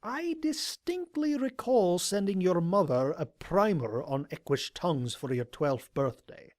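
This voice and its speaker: A man plays an ageing male pony scholar from an audio drama. He talks slowly and deliberately, dry and a little hesitant, like a learned explorer who will not be hurried. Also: high voice, expressive, darkish tone, no room sound. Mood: scholarly. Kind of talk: audio drama character acting.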